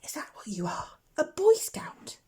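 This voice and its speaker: A woman's voice: measured pace, mellow, in a whisper, lightly acted. A woman voicing a timid but eager young boy barn owl, with an earnest, childlike, questioning manner. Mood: curious.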